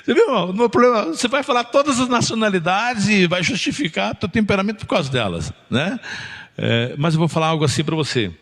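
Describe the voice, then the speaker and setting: A middle-aged man with a Brazilian accent and a fairly high voice preaches in a loose, conversational style. He is jocular and punctuates his points with short tag questions. The sound carries the long reverb of a large hall. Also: animated, fast, fairly bright tone. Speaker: pastor preaching. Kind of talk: informal sermon with anecdotes. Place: church building, preaching to a congregation.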